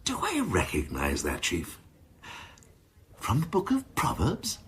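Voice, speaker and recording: An actor playing a cynical, domineering assistant chief constable, mocking and ornate in a crime radio drama. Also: male voice, on the low side, wildly swooping pitch, slow, a bit bright, a little hiss or hum, full character voice. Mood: scornful. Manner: mocking, ornate, theatrical phrasing.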